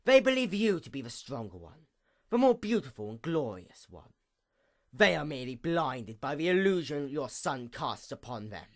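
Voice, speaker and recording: A performer acting out a bitter pony goddess of the night, female and vengeful. The delivery of this villain monologue is grandiose and formal, with a mid-pitched voice that sweeps widely between fierce outbursts and hushed menace. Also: sing-song, normal speed. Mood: scornful, brooding. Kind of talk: dramatic reading, theatrical villain monologue.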